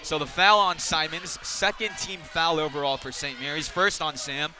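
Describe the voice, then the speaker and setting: A man's voice: fairly high pitch, fairly even intonation, moderate pace. A man doing live radio play-by-play of basketball, describing the action in clipped phrases that rise with the play. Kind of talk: live sports commentary. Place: indoor basketball arena, courtside. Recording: noisy arena with court sounds behind.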